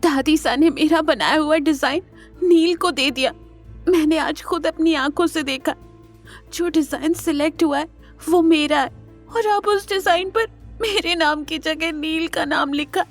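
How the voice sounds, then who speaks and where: A woman's voice: sits high, measured pace, faint music behind. A woman playing a hurt, proud young wife in an audio drama, speaking earnestly and with emotion. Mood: hurt, indignant. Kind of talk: Hindi audio drama acting.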